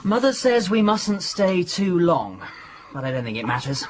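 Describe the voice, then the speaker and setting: An actor playing an awkward, touchy young son of a wealthy family in a radio drama. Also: male voice, high-pitched, sing-song, normal speed. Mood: tipsy chatty. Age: young adult. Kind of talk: British radio drama acting.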